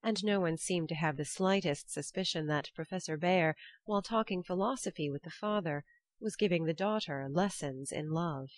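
A woman's voice: on the low side, moderate pace, dry recording. A woman reading scripted storybook narration as an audiobook narrator.

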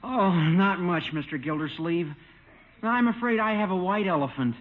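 Actor as high-voiced, earnest young husband. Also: male voice, moderate pace, natural voice. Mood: rueful, earnest. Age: young adult. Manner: deferential, boyish. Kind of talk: 1950s radio situation comedy acting. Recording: tinny old radio broadcast.

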